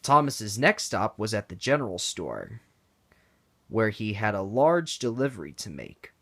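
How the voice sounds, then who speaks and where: This is a man reading a children's picture book aloud. His pace is slow and deliberate. His voice rises and falls a lot to keep young listeners engaged. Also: on the low side, very animated, fairly bright tone. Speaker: read-aloud narrator. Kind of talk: children's storybook read-aloud.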